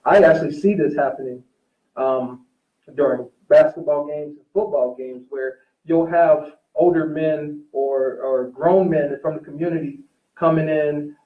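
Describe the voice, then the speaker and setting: A man in a casual discussion, agreeable, choosing his words in a measured, somewhat hesitant way. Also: fairly high pitch, steady tone. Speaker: teacher, talk show guest or co-host. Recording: roomy, dull, distant-mic recording.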